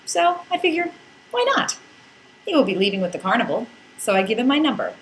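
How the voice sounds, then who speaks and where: A woman's voice: a little high, big pitch swings, brisk, a little hiss or hum, poor audio. A woman narrating a scripted first-person comic story in a playful, wry, theatrical way with dramatic emphasis. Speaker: podcast narrator reading a blog.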